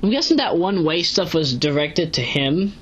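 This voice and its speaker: A woman giving casual, unscripted commentary as an online reviewer, rambling and halting as she corrects herself. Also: low-pitched, very animated, slightly rough, muffled recording. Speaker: online video reviewer and narrator. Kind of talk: casual unscripted commentary recapping a web series.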